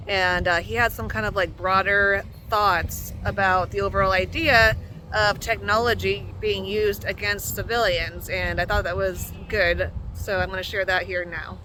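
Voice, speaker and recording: A woman's voice: mid-range voice, fairly even intonation, unhurried, pushed and bright, a little hiss or hum, poor audio. A woman hosting an online show, giving casual news commentary to her viewers.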